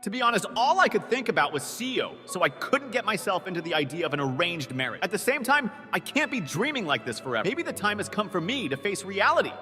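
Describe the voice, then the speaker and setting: Man performing nervous young romantic hero, animated swoops, self-doubting narration, comic dub, reverberant sound. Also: sits high, quick pace, hardly any pauses, full character voice. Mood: anxious, pondering. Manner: earnest, self-doubting inner monologue with startled outbursts. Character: nervous, earnest, lovesick young man of twenty-four. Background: background music.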